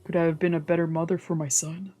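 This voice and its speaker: Streamer playing a lonely, broken visual novel mother, mid-pitched, hushed and sorrowful, music behind. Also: flat delivery, quick pace, lightly acted. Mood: anguished, regretful. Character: broken, lonely mother confessing in her diary.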